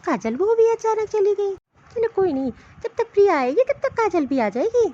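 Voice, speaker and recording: Very high-pitched fussing cartoon mother-in-law voiced by a woman. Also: sing-song, normal speed, warm tone, highs cut off. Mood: busy, musing. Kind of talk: Hindi comedy cartoon story dialogue.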